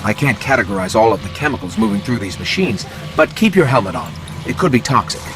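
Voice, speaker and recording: A man's voice: medium pitch, sing-song, fast talker, creaky. A man voicing a small robot scout in a video game, inquisitive and wry, explaining things expressively. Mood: wry, observant. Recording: game audio with music behind.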